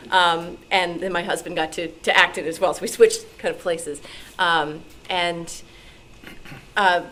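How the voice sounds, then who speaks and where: A woman from New York City telling personal anecdotes to a club audience in an animated way, acting out remembered dialogue. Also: lowish voice, unhurried. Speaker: radio drama actress and sound effects performer, guest speaker. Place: meeting room with audience. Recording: noisy room at a club meeting.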